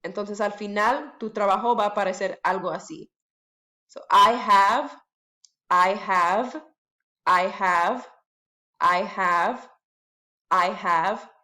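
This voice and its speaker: A woman teacher at home giving a bilingual lesson, saying each English phrase slowly several times and then translating it into Spanish. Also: medium pitch, sharp tone. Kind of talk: bilingual classroom lesson, slow repeated drills.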